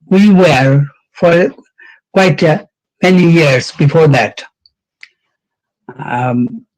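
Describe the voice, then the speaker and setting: An older man recounts the past at an event in a slow, unhurried delivery. His voice sits a bit high for a man, and he halts often on fillers and restarts his sentences as he gathers his thoughts. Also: a little mellow, low-quality recording. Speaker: event speaker. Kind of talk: reminiscing speech.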